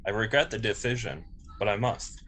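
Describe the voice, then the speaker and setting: A man playing a polite, logical android officer in a science fiction table read, speaking formally and precisely with calm dignity. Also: fairly low pitch, normal speed, natural voice. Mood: quietly resolute. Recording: clear background noise, room ambience.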